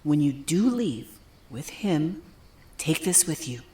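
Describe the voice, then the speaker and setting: A woman playing an anxious, protective spy in a wartime audio drama, with an earnest, urgent, hushed delivery. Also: sits low, very animated, slow pace, heavily acted. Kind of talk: dramatized audio story acting. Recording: roomy echo over background music.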